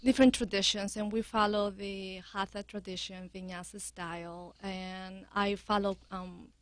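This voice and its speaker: A woman from Peru with a Peruvian Spanish accent, talking earnestly as a yoga studio director, with many halting restarts. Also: mid-range voice, little pitch movement, no echo. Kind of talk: casual interview chat.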